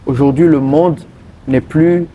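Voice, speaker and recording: A man from Togo, answering interview questions earnestly and pausing often mid-sentence to find his words. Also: on the high side, steady tone, highs cut off, slight background noise. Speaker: interview guest, politician.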